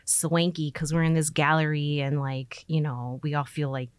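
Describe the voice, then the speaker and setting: Low-pitched woman chatting casually. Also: little pitch movement, normal speed, clean tone, no room sound. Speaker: artist interviewee. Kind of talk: casual interview chat.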